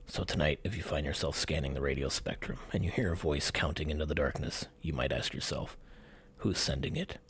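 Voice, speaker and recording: A man with a husky, gravelly voice narrates a scripted mystery documentary in short, suspenseful, dramatic phrases. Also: very deep voice, fairly quick. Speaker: channel host narrator.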